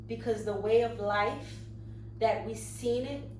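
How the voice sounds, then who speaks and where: A woman of thirty, co-hosting a casual podcast chat, talking slowly and thoughtfully and trailing off quietly. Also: fairly high pitch. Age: 30s. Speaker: podcast co-host. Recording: echoey room, mic at a distance, faint hum.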